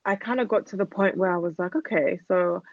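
A woman's voice: on the low side, brisk, creaky, no room sound, phone-quality sound. A woman in her thirties, a podcast guest, talking candidly and rambling a little, with plenty of fillers. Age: 30s. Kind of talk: casual chat.

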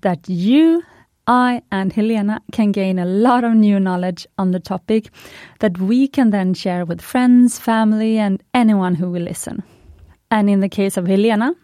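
A woman's voice: medium pitch, mellow, no echo, studio quality. Chatty Swedish-native woman podcast host. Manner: chatty, enthusiastic, fond of puns. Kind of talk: scripted podcast monologue.

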